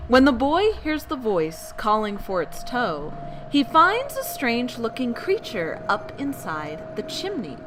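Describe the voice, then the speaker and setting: A woman's voice: on the high side, very animated, unhurried, noisy background. A woman narrating scary tales as a bedtime storyteller, theatrical and full of suspense. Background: background music.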